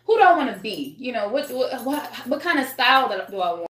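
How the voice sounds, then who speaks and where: A woman's voice: a little high, very animated, a little fast, a bit bright. A woman singer talking casually as a podcast guest, rambling and swearing, with many restarts. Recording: echoey room.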